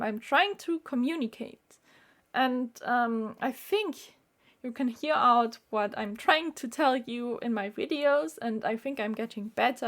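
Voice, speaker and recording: Slow, earnest woman. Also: a little high, fairly bright tone. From Austria. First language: German. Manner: earnest, halting with frequent fillers. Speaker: vlogger. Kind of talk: casual talk to camera.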